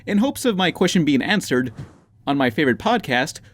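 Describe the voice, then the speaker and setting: A man's voice: a little high, sing-song, a little fast. A man hosting a podcast in casual, conversational chat. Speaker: podcast host.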